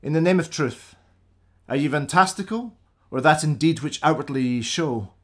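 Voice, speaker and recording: A man's voice: a little high, animated, moderate pace. A man playing a bold, questioning noble warrior in a classical radio drama. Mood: challenging.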